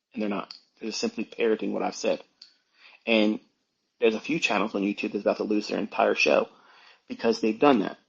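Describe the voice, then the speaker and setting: Male educator, informal monologue. Husky, emphatic, admonishing delivery with repeated phrases, on a dull lo-fi recording. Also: lowish voice, a little mellow. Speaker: trading educator hosting an online audio talk. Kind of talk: informal unscripted monologue, lecturing.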